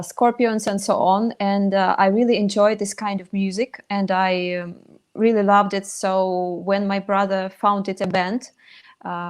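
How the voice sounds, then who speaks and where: Brief: young Russian-accented woman, English not her first language, hesitant and reflective, video-call interview guest. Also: medium pitch. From Sakha Republic, northern Russia. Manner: halting, thoughtful, many fillers and restarts. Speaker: singer in a metal band, guest. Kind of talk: casual interview answers.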